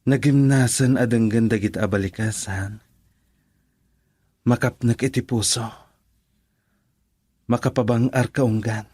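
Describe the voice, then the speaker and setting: A man's voice: mid-range voice, fairly even intonation, measured pace, long pauses, darkish tone, breathy edge, no room sound. A man playing a lovestruck, poetic young suitor in an Ilocano radio drama, earnest and romantic with an Ilocano accent.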